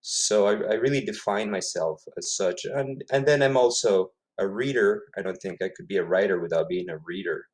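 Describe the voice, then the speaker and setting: Middle-aged male author from Ecuador, native Spanish, lowish voice, musing and self-correcting in conversation. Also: moderate pace, few pauses. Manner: thoughtful, self-correcting, repeats words. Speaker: author guest. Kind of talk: casual interview.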